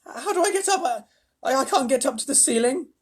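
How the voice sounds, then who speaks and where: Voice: high-pitched, lively intonation, fairly quick, pitched above the performer's own voice. A reader voicing an anxious young boy who pleads for help. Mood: pleading.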